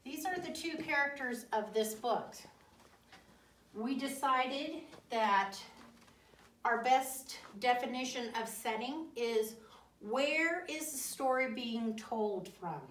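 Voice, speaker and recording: A woman teacher leading a classroom reading lesson, speaking deliberately and didactically, pausing, repeating and putting questions to pupils. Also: high-pitched, animated, a bit bright. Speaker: elementary school teacher. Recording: roomy echo, distant mic.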